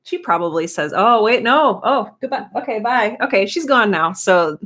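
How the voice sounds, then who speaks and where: A woman hosts an informal live stream in a middle-pitched voice. She chats in an encouraging, conversational way, reading comments and greeting people as they come in. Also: expressive, moderate pace, fairly bright tone. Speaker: live stream host and writing teacher.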